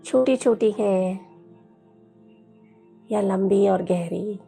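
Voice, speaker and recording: A woman guides a meditation in a gentle, soothing voice, leaving long pauses between instructions. Her tone is mellow and relaxed, with a husky, breathy softness. Faint music plays behind her. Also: medium pitch. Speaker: yoga teacher leading guided meditation.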